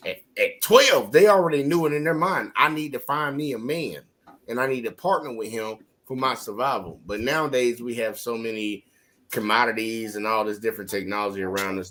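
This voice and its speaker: A man, hosting a casual podcast debate and laying out his views emphatically. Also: mid-range voice. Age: 30s. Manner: animated, emphatic, lecturing. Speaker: podcast host.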